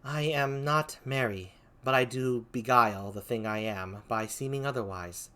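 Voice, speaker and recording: A performer reading a gentle, spirited young noblewoman with drawn-out, theatrical Shakespearean delivery. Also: mid-range voice, lightly acted. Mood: playful. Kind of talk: amateur Shakespeare read-aloud.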